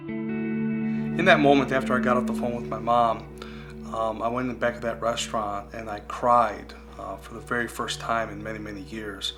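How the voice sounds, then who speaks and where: A man's voice: medium pitch, fairly even intonation, normal speed. Earnest man telling his story. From United States. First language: Greek. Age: 40s. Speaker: speaker sharing his recovery testimony. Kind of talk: personal testimony. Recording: guitar music playing underneath.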